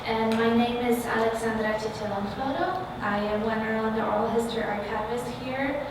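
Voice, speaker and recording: A woman working as an oral history interviewer, speaking informally in a halting, hesitant way. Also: on the high side. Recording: very echoey room, distant mic, noisy.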